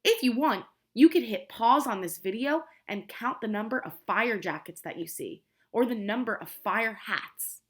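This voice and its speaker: Lively, high-voiced woman reading to preschoolers. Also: moderate pace, few pauses. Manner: expressive, simple explaining, prompting children with questions. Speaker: preschool teacher. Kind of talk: children's picture book read-aloud with questions to young listeners.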